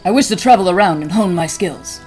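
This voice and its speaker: A man's voice: sits high, fairly quick, darkish tone, soft background music, close to an everyday voice, rough recording. Man as humble, courteous fantasy healer. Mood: earnest, modest. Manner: formal, polite. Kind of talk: fantasy audio drama acting.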